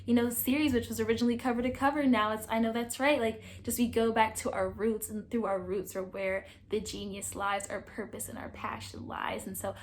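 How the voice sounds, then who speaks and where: A young woman hosting a casual book review, talkative and animated, projecting a loud voice. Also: on the high side, a little fast, hardly any pauses. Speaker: book reviewer, channel host. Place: indoors, sitting against a wall.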